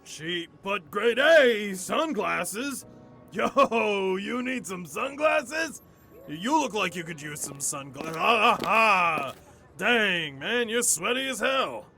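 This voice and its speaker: An actor playing a brash, slangy street sunglasses vendor in an audio drama, hawking in sing-song shouts. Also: male voice, sits high, lively intonation, slow, gravelly, soft background music, heavily acted. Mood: pushy, jovial.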